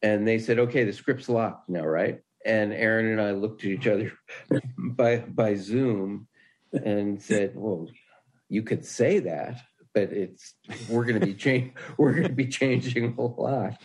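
A man answering interview questions in a halting, thoughtful way. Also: fairly low pitch, a little mellow, slightly rough. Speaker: podcast guest, writer.

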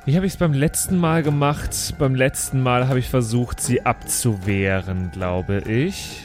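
A man's voice: mid-range voice, a little slow. Casual man playing over faint music. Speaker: player in a tabletop role-playing game. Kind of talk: casual improvised game chat.

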